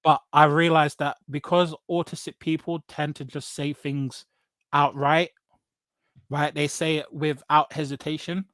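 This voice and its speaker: A man's voice: a little high, flat delivery, a little slow, a bit bright, no echo. A man from England with an English accent telling personal stories casually as a guest, blunt and emphatic, repeating phrases and often checking in with "right?". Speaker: podcast guest. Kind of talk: casual personal storytelling in conversation.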